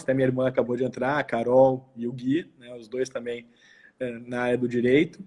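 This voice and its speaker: A man's voice: medium pitch, darkish tone. A man in his forties with a southern Brazilian accent from Porto Alegre, speaking casually and reflectively in an interview, often repeating words as he goes. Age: 40s. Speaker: law professor interviewed as guest. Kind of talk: casual interview, recounting own life.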